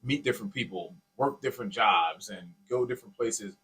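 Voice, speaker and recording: A man with a bright, forceful voice that has some rasp in it. He co-hosts a podcast, explaining himself earnestly in loose, informal language, as if among friends. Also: on the low side, fairly quick, poor audio. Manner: informal, peppered with "man" and "dude". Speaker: podcast co-host. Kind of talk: casual, earnest chat.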